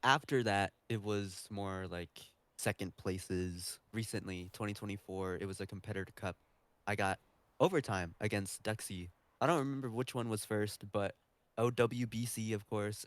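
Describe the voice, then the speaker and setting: A man with a low, very clear and resonant voice speaks as a podcast guest. He answers in a casual, conversational way. The sound is close and dry. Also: steady tone, normal speed, a bit bright, no echo. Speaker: podcast guest, competitive beatboxer.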